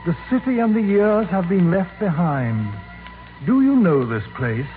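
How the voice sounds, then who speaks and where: A stately spirit guide in an old radio play, solemn and questioning with a sly mocking edge. The voice is fairly high and very expressive, over prominent music on a tinny vintage recording. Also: male voice, a little slow. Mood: solemn, commanding. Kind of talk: 1940s radio drama acting.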